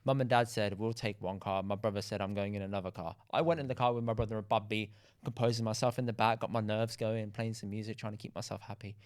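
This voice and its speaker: A man's voice: lowish voice, quick pace, hardly any pauses. A man from England, a podcast guest telling stories casually and acting out quoted dialogue. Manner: animated, acts out quoted dialogue. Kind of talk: casual storytelling.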